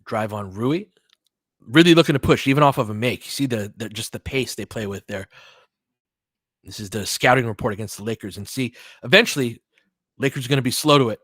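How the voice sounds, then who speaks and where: A man's voice: medium pitch, brisk, a bit bright, no echo, clean studio sound. A man doing informal live basketball play-by-play, mixing short clipped calls with conversational coaching analysis and fan-like asides.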